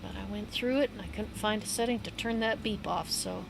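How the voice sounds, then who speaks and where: A woman livestreaming a game, chatting in a loose, rambling way with a hoarse voice and easy laughs. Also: mid-range voice, fairly quick, noisy background. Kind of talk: casual rambling chat while gaming. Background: upbeat game music.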